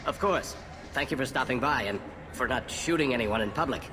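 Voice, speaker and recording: A man's voice: medium pitch, expressive, a little fast, natural voice. Man playing a courteous bartender in echoing hall. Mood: polite, obliging. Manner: courteous, formal service talk. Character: polite, obliging male bartender at a club. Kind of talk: video game dialogue. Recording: long hall reverb, music behind.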